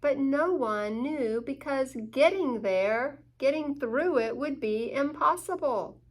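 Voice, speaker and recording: A woman reads a picture book aloud to young children. Her voice is high and very expressive, with very slow, dramatic emphasis and inviting questions to listeners. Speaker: children's story-time host.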